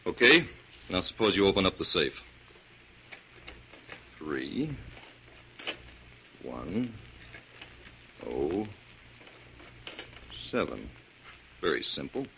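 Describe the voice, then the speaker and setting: An actor playing a menacing burglar in a 1940s radio crime drama, cold, commanding and threatening. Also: male voice, low voice, big pitch swings, slow, long pauses, exaggerated voice. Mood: cool menace. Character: menacing male burglar threatening a wealthy woman. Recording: muffled, noisy 1940s radio recording.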